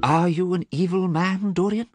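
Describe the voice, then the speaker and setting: A man reading as an earnest artist who talks quietly and sadly to a friend he fears for. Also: high-pitched, fairly even intonation, moderate pace, over music, pitched above the performer's own voice, natural voice. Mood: pleading. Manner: quiet, pleading, sad. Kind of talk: audiobook character reading.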